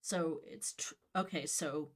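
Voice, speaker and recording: Lady reading a storybook on stream, kinda low voice, wry and chatty. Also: steady tone, unhurried. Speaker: co-host reading a book aloud on a livestream. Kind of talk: storybook read-aloud with casual chat.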